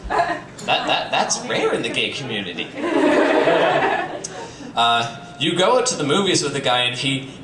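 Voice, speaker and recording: A man's voice: medium pitch, very animated, measured pace, pauses often, recorded from a distance. A man doing a comedic live reading for an audience, theatrical, with dry joking asides. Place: bistro live show with audience. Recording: echoey, noisy live stream from off mic.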